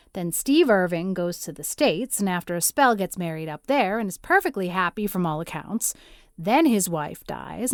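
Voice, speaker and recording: A practical, unsentimental older guardian, acted by a woman in a dramatised storybook. She speaks crisply and skeptically in a mid-range voice, recorded close and dry. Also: medium pitch, darkish tone, no room sound, close to an everyday voice. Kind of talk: dramatised audiobook acting.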